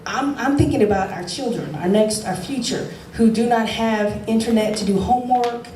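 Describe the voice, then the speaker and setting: Brief: mid-pitched woman, halting audience question, distant echoey noisy room. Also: mellow. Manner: hesitant, many fillers. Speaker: audience member asking a question. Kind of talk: town hall question. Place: town hall meeting room.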